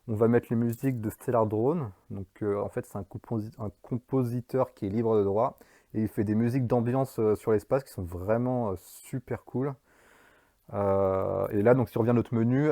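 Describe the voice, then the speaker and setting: Chatty man with a low mellow voice. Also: gravelly, dry recording. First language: French. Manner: chatty, many fillers and restarts. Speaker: indie game developer. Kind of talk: casual devlog narration.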